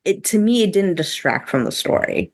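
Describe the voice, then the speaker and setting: A woman's voice: medium pitch, very animated, normal speed, dry recording. A woman podcast host chatting casually and giving her opinions, with a lot of "like" thrown in. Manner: chatty, heavy use of "like", opinionated. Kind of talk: casual review chat among co-hosts.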